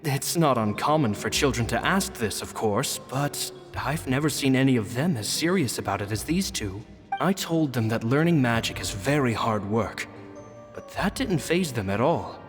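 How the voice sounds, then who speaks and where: A man voicing a kindly, modest stage magician in a video game, narrating his journal in a gentle, reflective way. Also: medium pitch, lively intonation, normal speed, natural voice. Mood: warm, reflective. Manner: gentle, storytelling. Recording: long reverb, music behind.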